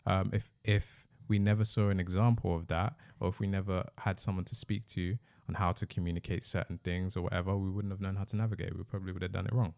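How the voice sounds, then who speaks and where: A man's voice: low-pitched, flat delivery, fast talker, creaky, few pauses, a little mellow, no echo. A young man chatting casually as a podcast guest. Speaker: podcast guest, host's fiancé. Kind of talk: casual chat.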